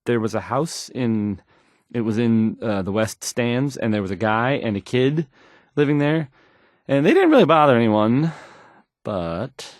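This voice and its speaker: A man's voice: mid-range voice, lively intonation, no room sound, studio quality, natural voice. An actor playing an awkward, insecure male radio DJ in a video game, stammering and hesitating through his news links. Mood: nervous, hesitant. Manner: halting, stammering, hesitant, awkward, self-doubting. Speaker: radio DJ character.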